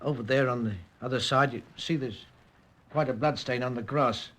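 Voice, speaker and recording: A man's voice: mid-range voice, normal speed, a bit bright, lightly acted. A British man playing a deferential village police constable reporting to his superior in a radio drama. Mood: subdued. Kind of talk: 1950s radio drama acting.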